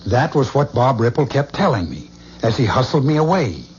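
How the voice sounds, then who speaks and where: A man's voice: medium pitch, sing-song, darkish tone, close to an everyday voice. A man playing a haunted, brooding man in an old radio drama. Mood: urgent. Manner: intense, brooding, halting when shaken. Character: troubled man haunted by visions of a killer. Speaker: lead character. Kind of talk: 1970s radio drama acting. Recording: dull lo-fi radio broadcast with faint hiss.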